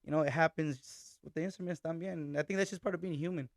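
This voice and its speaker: A man's voice: a little high, steady tone, fast, vocal fry, dry recording, studio quality. Laid-back young man telling stories. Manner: laid-back storytelling, mixes Spanish phrases and swearing. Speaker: band vocalist and accordion player. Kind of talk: casual podcast chat.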